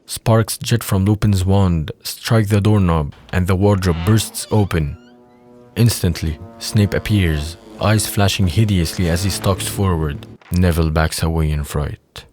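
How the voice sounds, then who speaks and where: A man's voice: low-pitched, measured pace, darkish tone, faint music behind. A man reading scripted scene narration for an audio drama.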